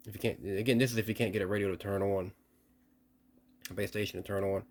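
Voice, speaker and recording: A man giving a casual hands-on demonstration and explanation in a halting, repetitive, explanatory way, like a repair hobbyist presenting a video. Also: lowish voice, fairly even intonation, a little mellow.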